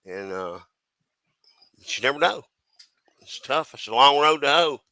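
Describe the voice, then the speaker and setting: A man from the US East Coast chatting casually as a stream panelist, giving his opinions in a halting, folksy way. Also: mid-range voice, animated, sharp tone. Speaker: panelist on a crime chat stream.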